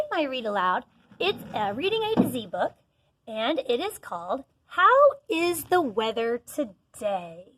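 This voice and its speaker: A woman's voice: high-pitched, no room sound. A woman teacher giving a read-aloud lesson to young children, encouraging them and asking questions as she goes. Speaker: elementary school teacher.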